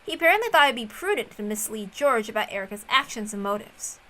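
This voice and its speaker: A woman reading a visual novel aloud as narrator, expressive and informal in style. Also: a little high, quick pace, slight background noise.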